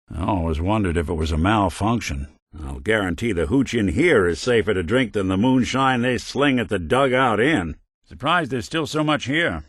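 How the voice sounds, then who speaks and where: An actor playing a weary synth detective in a video game, delivering dry, sardonic quips with an old-time gumshoe cadence. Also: male voice, on the low side, husky, dry recording, full character voice. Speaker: companion character. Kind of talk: game voice lines.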